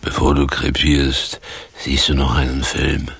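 An actor playing a theatrical tramp, a washed-up stage actor, slow and declamatory with a husky, creaky voice in a radio drama. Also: male voice, very deep voice, monotone, a bit bright, dry recording, full character voice. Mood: solemn. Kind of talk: 1980s German radio drama acting.

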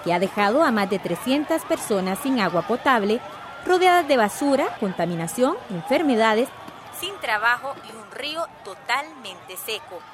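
High-voiced female field reporter. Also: very animated, normal speed. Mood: composed, formal. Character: composed female field reporter covering a street protest. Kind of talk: scripted on-location news report in a radio drama.

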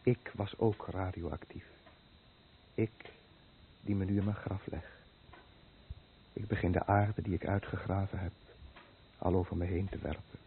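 An actor playing a doomed fisherman telling of his own burial in halting, deliberate phrases, in a solemn radio drama. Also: deep voice, fairly even intonation. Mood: grim resignation. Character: doomed male fisherman slowly burying himself in his grave. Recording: muffled noisy old radio play recording.

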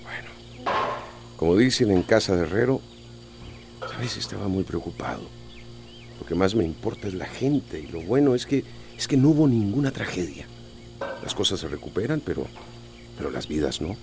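Deep, gravelly Central American mayor. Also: male voice, lively intonation, moderate pace, pauses often, background noise. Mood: weary, earnest. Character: weary, caring small-town mayor, tender husband. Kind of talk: radio soap opera acting.

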